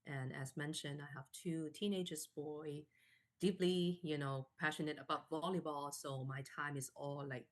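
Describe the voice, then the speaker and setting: A woman in her forties from Canada, a guest in a live online interview, telling her story softly with many fillers and restarts. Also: low voice, steady tone, moderate pace.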